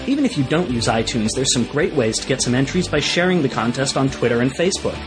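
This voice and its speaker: Lively man announcing over guitar music. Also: mid-range voice, quick pace, rough recording. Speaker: promo announcer. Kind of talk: scripted podcast advertisement.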